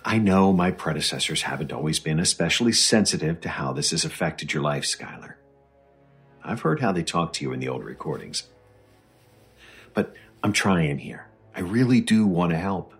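An actor playing an earnest, patient government psychologist in an audio drama, raspy, placating and a little hesitant. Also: male voice, low voice, lively intonation, moderate pace, faint music behind, full character voice.

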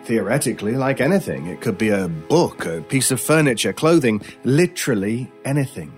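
A man's voice: medium pitch, sing-song, darkish tone, close to an everyday voice. Man playing a brooding, mysterious stranger in radio horror. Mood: grave, explanatory. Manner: deliberate, theatrical, dramatic pauses. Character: intense mysterious male stranger, brooding paranormal expert. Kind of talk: old-time radio horror acting. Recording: music under the voice. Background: music.

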